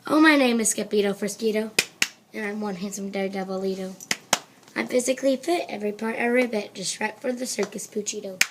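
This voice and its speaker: A woman's voice: a little high, expressive, moderate pace, heavy background noise, natural voice. A woman voicing a playful, boastful little boy kitten who fancies himself a Chihuahua daredevil in a storybook. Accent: put-on Spanish. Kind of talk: storybook character voice.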